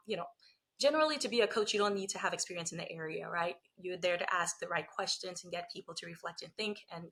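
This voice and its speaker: A woman's voice: mid-range voice, bright, forceful tone. A woman from Liberia, a lawyer and coach, talking through her career as an interview guest in a reflective, explaining way. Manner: reflective, explanatory, frequent "you know" and "right?". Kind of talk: conversational interview.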